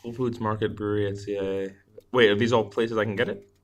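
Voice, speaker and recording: A man co-hosting a podcast in playful, expressive casual chat, often repeating short phrases for emphasis. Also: fairly low pitch, fast. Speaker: podcast co-host. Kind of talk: casual chat about beer and films.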